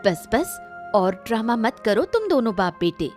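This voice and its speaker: A woman's voice: a little high, very animated, unhurried, faint music behind. A woman playing a scheming, scornful, threatening mother-in-law in a cartoon moral story. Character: scheming, spiteful mother-in-law of a rich household.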